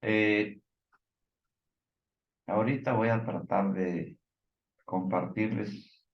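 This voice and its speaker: A Mexican man with a fairly low voice gives informal commentary on the news. He speaks very slowly and haltingly, feeling for words and stumbling as he reads. The sound is dull and echoes around the room. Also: steady tone. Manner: halting, hesitant delivery with filler words and stumbles. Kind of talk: informal news commentary, partly reading reports.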